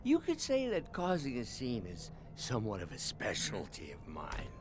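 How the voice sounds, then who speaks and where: A man's voice: fairly low pitch, huge pitch swings, moderate pace, noisy background. A man playing a boisterous, sardonic drunk in a video game, speaking in a grandiloquent, theatrical and mocking way. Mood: effusive, grateful. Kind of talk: video game character acting. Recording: game music behind the voice.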